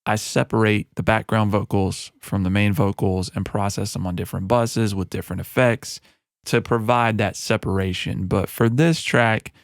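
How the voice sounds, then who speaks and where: A man who is a music producer giving a relaxed, chatty walkthrough of a mixing session into a broadcast mic in his home studio. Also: on the low side, dry recording. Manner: relaxed and conversational, with frequent fillers. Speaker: music producer and recording artist. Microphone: broadcast studio microphone.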